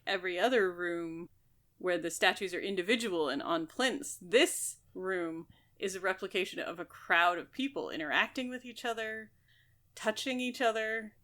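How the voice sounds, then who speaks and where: A woman's voice: on the low side, sharp tone. A woman co-hosting a podcast, chatting casually as she talks through a book. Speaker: podcast co-host.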